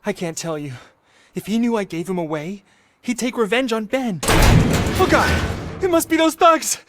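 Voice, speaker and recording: Jittery teenage boy, swooping pitch. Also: expressive, measured pace, heavy background noise. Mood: panicked, pleading. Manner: anxious, pleading. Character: scared teenage boy mechanic, jittery, loyal petty thief. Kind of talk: video game voice acting.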